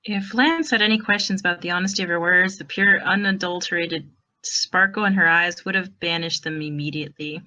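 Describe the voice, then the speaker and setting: Female narrator for fan fiction, bright and expressive, on the lower side. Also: a little fast, low-quality recording.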